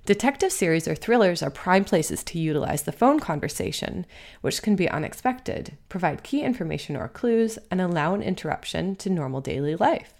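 Low-pitched, creaky-voiced woman reading an essay. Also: big pitch swings, fairly quick, darkish tone. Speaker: host reading their own essay. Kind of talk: scripted narration of a literary essay.

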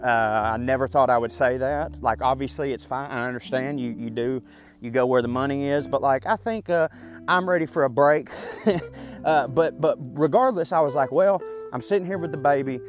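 A man's voice: medium pitch, normal speed. Southern man, 30s, mid-range voice, loose profane storyteller; light music underneath. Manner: chatty, profane, self-deprecating, frequent fillers. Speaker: podcast host. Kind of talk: casual rambling monologue.

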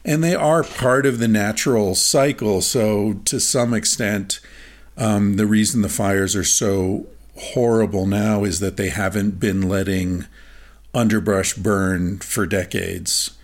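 A man hosts a casual interview with a somewhat low, gravelly voice. He explains his thoughts at length and pauses often with ums. His delivery is thoughtful and unhurried. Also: fairly even intonation. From United States. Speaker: podcast host.

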